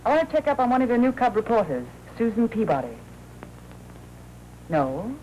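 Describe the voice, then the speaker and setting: An actress with an American accent playing a poised, sharp and stubborn newspaper reporter in a 1940s crime film. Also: female voice, on the high side, big pitch swings, moderate pace, highs cut off. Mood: businesslike. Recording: noisy 1940s film soundtrack.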